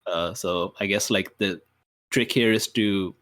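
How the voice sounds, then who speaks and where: A man's voice: low voice, measured pace, fairly bright tone. A man playing a tabletop game, casually thinking aloud as he describes and weighs his moves.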